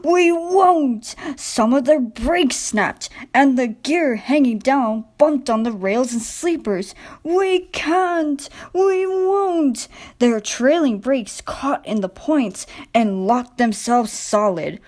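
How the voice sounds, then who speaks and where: Unhurried woman reading a children's story. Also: fairly high pitch, darkish tone. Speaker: storybook narrator. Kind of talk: scripted children's story narration.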